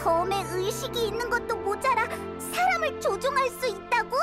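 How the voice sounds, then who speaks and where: A woman voicing a tiny, excitable, childlike fairy companion in an anime-style video game, with a squeaky, exclamatory delivery. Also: moderate pace, over music. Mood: shocked.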